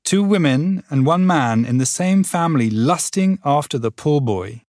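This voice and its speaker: A man's voice: on the high side, animated, moderate pace, darkish tone, no room sound, clean studio sound. A man hosting a podcast, narrating a scripted intro and then asking questions conversationally with fillers. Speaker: podcast host.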